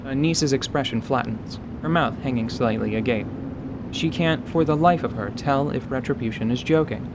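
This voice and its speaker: A man giving scripted story narration for an audio drama in a measured, articulate manner. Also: mid-range voice, noisy background.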